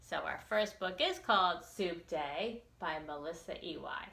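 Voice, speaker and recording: A woman reading a picture book aloud for young children, expressively and with deliberate enunciation. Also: fairly low pitch, gravelly, pushed and bright, reverberant. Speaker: children's librarian storytime reader.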